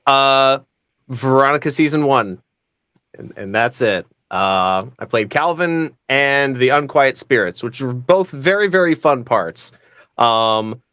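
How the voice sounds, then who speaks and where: A young man, a voice actor, introducing himself modestly and haltingly in casual chat. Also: medium pitch, bright, forceful tone, smooth, dry recording, studio quality. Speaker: voice actor, panel guest. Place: indoor room.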